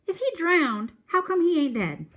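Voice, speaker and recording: A woman voicing a curious, excitable young boy with eager, wide-eyed questions in a storybook read-aloud. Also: very high-pitched, normal speed, pitched above the performer's own voice, full character voice. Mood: amazed, curious.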